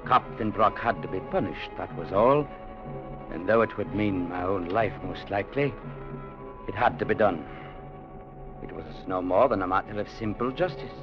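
An actor playing a stern, principled adventurer who narrates an old radio drama in a measured, deliberate way. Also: male voice, fairly low pitch, close to an everyday voice. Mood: grim resolve. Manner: measured, deliberate, grimly resolute. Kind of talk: 1940s-50s radio drama narration and acting. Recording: muffled old radio recording with music behind.

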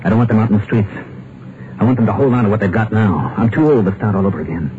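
A man's voice: lowish voice, fast, slight background noise, natural voice. A man playing a desperate ruined husband. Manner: earnest, strained. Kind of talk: 1950s radio drama, also narrating. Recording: tinny old radio broadcast with music.

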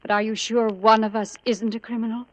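A woman's voice: a little high, level tone, fairly quick, close to an everyday voice. Suspicious middle-aged American mother played by a woman. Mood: accusing. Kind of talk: 1950 radio drama acting. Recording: dull old radio recording.

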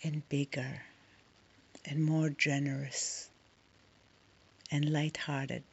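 A woman leading a guided meditation in a soft, soothing, breathy voice, leaving long pauses between short phrases. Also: deep voice, little pitch movement, lo-fi sound.

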